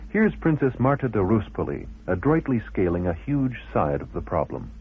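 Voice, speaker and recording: A man's voice: on the low side, very animated, normal speed, husky, narrow, tinny audio. A man reading scripted radio documentary narration in a formal, erudite way.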